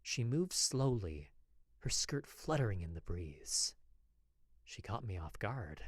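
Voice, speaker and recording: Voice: sits low, very animated, takes it slowly, breathy edge, no room sound, studio quality, full character voice. A voice actor playing a nervous, lovestruck apothecary of the old west, confessing in hesitant, dramatic first-person narration for a podfic. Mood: anxious, musing.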